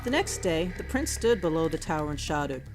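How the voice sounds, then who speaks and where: Female storybook narrator, lowish pitch, measured scripted delivery for children, music bed behind. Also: very animated, low-quality recording.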